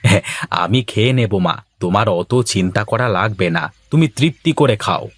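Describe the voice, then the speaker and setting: A man's voice: lowish voice, lively intonation, normal speed, breathy edge, no echo. Village family man, dry close sound. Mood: affectionate. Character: devoted village son and firm, defiant husband. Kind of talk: cartoon voice acting.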